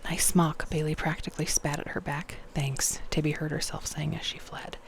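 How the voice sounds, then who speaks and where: ASMR reader, gravelly soft whisper, drifts into chatty asides. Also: medium pitch, completely flat delivery, a little fast. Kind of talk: ASMR novel reading.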